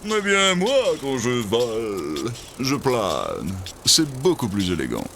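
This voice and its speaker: A man's voice: medium pitch, huge pitch swings, takes it slowly, gravelly, heavy background noise, full character voice. A man voicing a proud, boastful eagle in a children's cartoon, with a pompous, mocking manner. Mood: boastful, scornful. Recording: music behind the voice.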